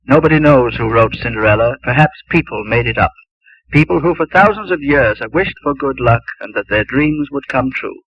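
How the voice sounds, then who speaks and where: A man's voice: medium pitch, expressive, normal speed, slightly rough, no room sound, muffled recording. A man hosting a 1950s radio drama, reading a scripted introduction over music on an old broadcast recording. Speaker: radio anthology host.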